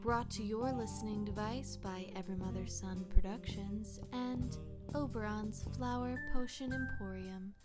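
Female announcer, unhurried scripted radio-play intro, playful guitar music loud behind her. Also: medium pitch, fairly even intonation.

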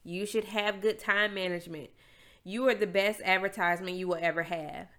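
A woman's voice: mid-range voice, steady tone, normal speed, fairly bright tone. A young adult woman with a stuffy, congested nose, hosting her own podcast in a candid, emphatic, motivational monologue. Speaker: podcast host.

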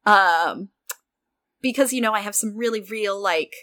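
A woman's voice: medium pitch, unhurried, noisy background. A woman co-hosting a casual podcast, bantering with self-deprecating humour. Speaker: podcast co-host.

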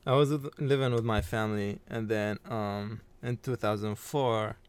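A man's voice: lowish voice, steady tone, moderate pace, clear, resonant tone. A man from Iraq, a non-native English speaker, telling his personal story casually as a podcast guest. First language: not English.

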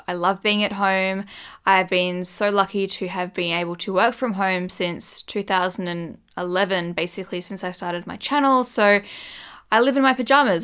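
A woman in her thirties talks casually to her camera, rambling and restarting as she goes, with light laughs here and there. Her voice is smooth underneath, just a little croaky from the morning, and sits at an ordinary middle pitch. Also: fairly bright tone. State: slightly croaky voice. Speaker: beauty vlogger and brand founder.

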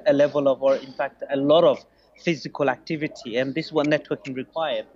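A man in his forties from Bangladesh, a podcast guest telling his story in a relaxed, hesitant way. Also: mid-range voice, fairly quick, no echo. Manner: hesitant, restarts, many "you know"s. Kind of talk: casual interview answers. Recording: lo-fi muffled sound.

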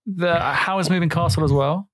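A man's voice: fairly high pitch, normal speed, a bit bright, no room sound. Young guy joking around on a podcast, swears a lot, dry close sound. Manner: crude, joking, swears freely. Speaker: podcast co-host. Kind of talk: casual podcast banter. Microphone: headphones and microphone.

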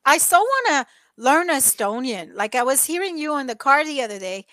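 Middle-aged woman, giggly and animated, lots of likes, dry close mic. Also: high voice, fairly quick, no echo. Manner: animated, giggly, filler-heavy. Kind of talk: casual chat.

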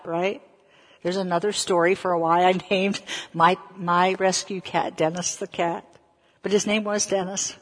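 An American woman in her fifties from the South, a preacher telling personal stories in a deliberate manner and putting questions to her listeners. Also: lowish voice. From Southern United States. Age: 50s. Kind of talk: sermon with personal storytelling. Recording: echoey hall with long reverb.

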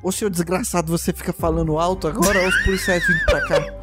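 A man's voice: sits high, huge pitch swings, quick pace, loud music behind the voice. A man with a Brazilian accent playing in a tabletop game, hurling impatient, insulting banter at the others. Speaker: player. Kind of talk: casual tabletop roleplay.

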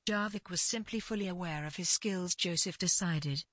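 A woman narrating literary fiction from a script in a measured way. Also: lowish voice, a bit bright, no room sound.

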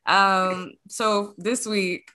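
A woman from Detroit with a Detroit accent, hosting a podcast in a frank, animated casual chat, cursing freely. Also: mid-range voice, drawn-out words. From Detroit, USA. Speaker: podcast host.

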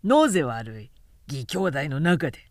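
A woman voicing a blunt, hot-tempered male warrior who grumbles in rough speech, in an audiobook novel. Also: deep voice, sing-song, a little slow, dry recording, studio quality. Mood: grumbling. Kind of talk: literary audiobook dialogue.

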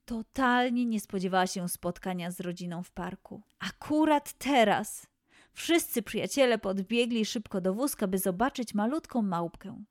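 A woman storyteller narrating a scripted children's tale in an expressive, deliberate way. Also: medium pitch, dry recording, studio quality.

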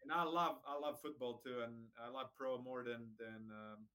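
Bright-voiced Serbian man telling his story. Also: medium pitch, moderate pace. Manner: friendly, matter-of-fact storytelling with frequent restarts. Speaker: guest, racquet sports director. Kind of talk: casual interview chat.

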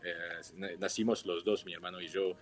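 Low-voiced Swiss man searching for words. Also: fairly even intonation, faint music behind. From Switzerland. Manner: careful, halting, searching for Spanish words. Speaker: guest, wine entrepreneur. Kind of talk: radio interview answers.

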